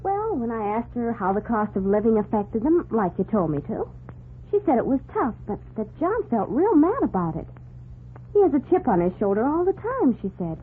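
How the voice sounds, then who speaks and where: A woman's voice: fairly high pitch, sing-song, normal speed. An actress playing a perky, eager young woman assistant with a chatty manner, in 1940s radio drama style. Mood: chatty, confiding. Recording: noisy, phone-like 1940s radio recording.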